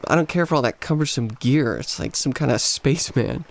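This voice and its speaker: A man's voice: mid-range voice, a little fast, vocal fry, lightly acted. A man playing an awkward, smitten young American vacationer in a radio play, hesitant and self-effacing. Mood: modest, casual.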